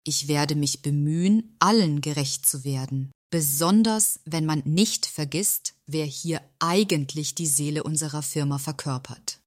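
A woman playing a courteous, deferential executive secretary with quiet pride, in a satirical radio play. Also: low-pitched, unhurried, hardly any pauses. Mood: wry. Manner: deferential, soothing, politely knowing. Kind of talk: German radio play acting.

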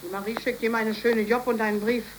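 A woman's voice: on the high side, little pitch movement, fast, close to an everyday voice. An actress playing an anxious, protective working-class mother, pleading and reproachful, in a 1930s radio drama. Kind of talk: 1930s German radio play acting. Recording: noisy old 1930s radio recording.

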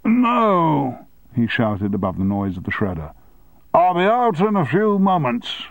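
A man's voice: low-pitched, steady tone, a little fast, no room sound, narrow, tinny audio. A man voicing a blustering, domineering male media tycoon who bellows his orders. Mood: impatient. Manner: bellowing, brusque.

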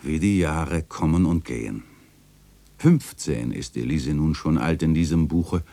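An actor playing an ageing, fond, bookish chronicler, narrating a literary radio play in a reflective, lyrical, tender way. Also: male voice, very deep voice, warm tone, heavily acted. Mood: wistful, reflective.

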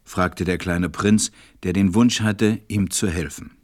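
A man with a low voice narrates a storybook as part of a radio play. He tells it in a measured way, recorded close and dry. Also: fairly even intonation, no room sound.

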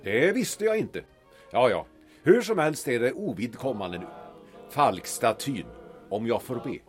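An actor playing a haughty, condescending Stockholm gentleman in a period audio drama, speaking formally and theatrically. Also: medium pitch, sing-song, soft background music, lightly acted. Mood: cool, curt. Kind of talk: period radio drama acting.

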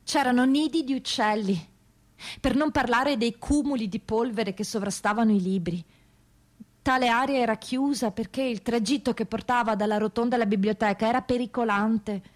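A woman with a rather high voice delivers a dramatic monologue for radio theatre. Her phrasing is grave, measured and deliberate. Music accompanies the voice. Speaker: actress reading prisoners' testimonies.

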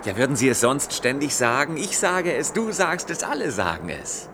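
A man's voice: medium pitch, big pitch swings, normal speed, background noise. A man playing a contented, conformist husband who placates his wife in a vintage science fiction radio play. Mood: placating.

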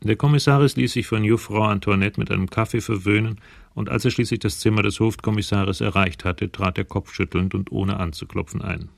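Deep, very gravelly male crime narrator. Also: fairly even intonation, brisk, no room sound. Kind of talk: scripted crime story narration.

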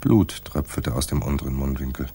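An actor playing a wry, tough private detective in a hard-boiled radio play, husky and laconic, in dialogue and narration. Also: male voice, a bass voice, expressive, fairly quick, mellow, full character voice. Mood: terse, tense. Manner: dry, understated.

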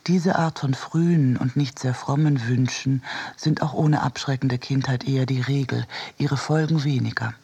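A man's voice: medium pitch, steady tone, normal speed, vocal fry, dark tone, slightly rough. A man reading scripted literary narration for a radio production. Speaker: reader of a literary essay.

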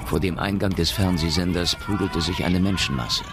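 A man's voice: sits low, moderate pace. A man narrating a radio drama in a scripted storytelling style. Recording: loud music and urban ambience behind.

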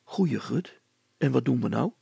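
Man playing a low, gravelly, curious handyman. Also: animated, measured pace, warm tone, no echo, full character voice. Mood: puzzled, obliging. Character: plain-spoken, curious handyman, eager male helper. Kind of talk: acted audiobook dialogue.

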